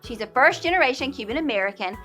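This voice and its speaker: Woman cohost hyping honorees, super bright voice, chatty and emphatic, bit of music underneath. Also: high voice, animated, fast talker. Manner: enthusiastic, informal, emphatic. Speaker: co-host of an awards program. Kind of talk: conversational award introductions.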